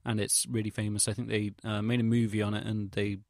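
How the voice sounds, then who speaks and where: A young English man with a fairly low voice talks in a casual audio log, recorded close and dry. He rambles hesitantly through his recollections, using slangy, sweary, self-deprecating wording. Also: level tone, fast, a little mellow, dry recording. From England. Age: young adult. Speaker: vlogger.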